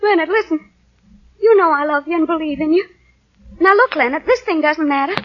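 Devoted young wife, radio play: high voice, encouraging yet firm, muffled old broadcast sound. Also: female voice, animated, brisk. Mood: tender, reassuring. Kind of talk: 1940s radio acting.

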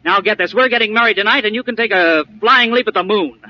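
A man's voice: high voice, fast talker, close to an everyday voice. An actor playing a headstrong, carefree rich young man in love in a 1940s radio drama. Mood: breezy, devoted. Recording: dull, faintly noisy old radio recording.

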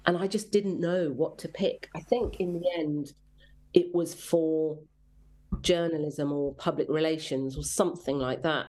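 An English woman with a low-pitched voice talks casually as an interview guest. She is chatty and self-deprecating, looking back in a reflective, conversational way. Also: fairly even intonation, measured pace, darkish tone, poor audio. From rural Devon, England. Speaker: interview guest, children's drama commissioning editor.

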